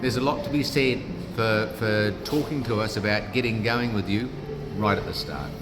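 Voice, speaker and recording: A middle-aged man with a New Zealand accent gives a lively, salesman-style pitch in a fairly low voice. Music plays clearly behind him at a busy trade fair. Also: normal speed. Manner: upbeat, punchy salesman delivery. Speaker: guest, business founder. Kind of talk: casual interview pitch.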